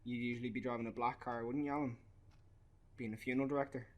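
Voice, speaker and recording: An actor with an Irish accent playing a grieving, bitter young father in a naturalistic radio play, halting and sweary. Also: mid-range voice, steady tone, natural voice. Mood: cold, accusing. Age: young adult.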